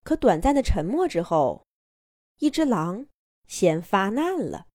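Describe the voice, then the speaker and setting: A woman narrating a children's fairy tale in an expressive, playful storytelling manner. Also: mid-range voice, unhurried, no room sound, studio quality.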